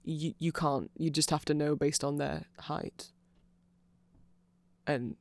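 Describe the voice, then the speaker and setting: A man's voice: fairly high pitch, fairly even intonation, takes it slowly, creaky, no room sound. Man playing a nerdy rambling vampire, lightish voice. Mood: absorbed, musing. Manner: rambling, filler-heavy, earnest fannish enthusiasm. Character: nerdy rambling male vampire explaining band lore. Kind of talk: rambling ASMR explainer.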